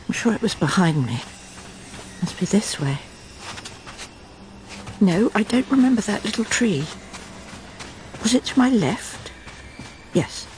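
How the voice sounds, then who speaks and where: A woman's voice: medium pitch, slight background noise. An actress playing a proper middle-aged Englishwoman in a radio drama, with an English accent. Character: smug middle-aged Englishwoman, lost and breaking down.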